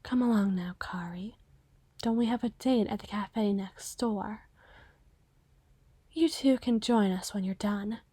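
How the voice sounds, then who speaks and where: A woman voicing a composed, doting monster woman with quiet menace, speaking softly and breathily in an ASMR roleplay. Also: mid-range voice, no room sound, voice pushed down. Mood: tender, composed. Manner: soft, breathy, measured, half-whispered.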